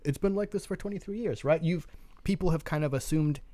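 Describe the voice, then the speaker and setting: A man's voice: on the high side, a little fast, warm tone, no room sound. A man co-hosting a tabletop gaming show, giving casual, opinionated commentary in a blunt, skeptical way.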